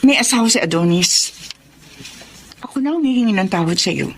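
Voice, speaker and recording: A woman's voice: mid-range voice, animated, husky. A woman playing a composed, resolute wronged wife in a radio drama, speaking emphatically with a Filipino accent. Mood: firm, earnest. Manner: deliberate, emphatic. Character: composed, resolute wronged wife warning her husband's girlfriend.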